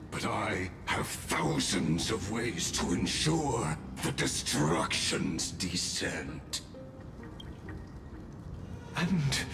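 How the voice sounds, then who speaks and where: Slow, deep-voiced calculating villain. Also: male voice, exaggerated voice. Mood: menacing. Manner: slow, deliberate, formal, condescending. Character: cold calculating male villain, scholarly schemer, menacingly polite. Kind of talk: theatrical game dialogue.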